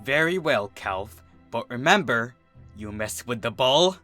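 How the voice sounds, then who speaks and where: A man voicing a huge, proud father bull who leads his herd, indignant and booming in a storybook reading. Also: animated, unhurried, sharp tone, soft background music. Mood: blustering challenge. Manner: booming, blustering.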